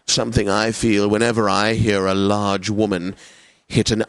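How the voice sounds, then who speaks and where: A man's voice: lowish voice, little pitch movement, normal speed, fairly bright tone, dry recording, rough recording. An actor playing a pompous, suave and lecherous male classical radio DJ in a comedic video game, announcing with theatrical relish. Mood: theatrical, smug. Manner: pompous, theatrical, savouring words.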